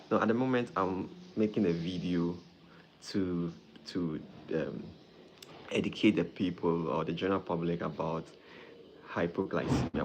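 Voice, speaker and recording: A man from Ghana, hosting a live stream as a doctor and warmly greeting viewers by name. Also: deep voice, a little hiss or hum. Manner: friendly, greets viewers by name, repeats phrases.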